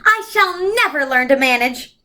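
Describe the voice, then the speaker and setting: Storybook old dear, voiced by a woman: high, lilting, fussy, scatterbrained and plaintive. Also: animated, normal speed, pushed and bright. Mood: plaintive, flustered. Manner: plaintive, sighing.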